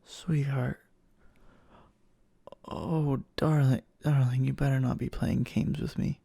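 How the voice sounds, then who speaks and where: A man playing a clingy, obsessive, lovestruck boyfriend in an intimate ASMR roleplay, speaking in a halting, tender way with a breathy voice that often drops near a whisper. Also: medium pitch, steady tone, mellow, no echo, heavily acted. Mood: stunned, hopeful. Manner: halting, pleading, tender endearments.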